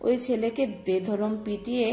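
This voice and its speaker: A woman's voice: fairly high pitch, fairly even intonation, normal speed, warm tone, soft background music, lightly acted. A woman playing a polite, respectful young village woman who speaks frankly in an audio drama. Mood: earnest, explaining. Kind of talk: audio-story dialogue acting. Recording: slightly roomy recording.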